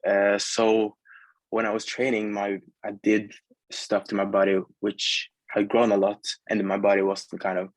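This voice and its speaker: Norwegian teen guy, late teens, lowish flat-ish voice, casual guest chat, norwegian accent, dry sound. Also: unhurried, no echo, rough recording. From Norway. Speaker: athlete guest.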